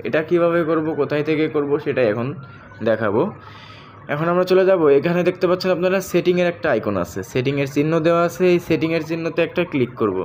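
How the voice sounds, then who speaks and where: A man's voice: fairly high pitch, animated, quick pace, darkish tone. A man with a Bangladeshi accent narrating a step-by-step app tutorial, speaking directly to viewers and repeating each step. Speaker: tech tutorial host. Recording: faint background noise.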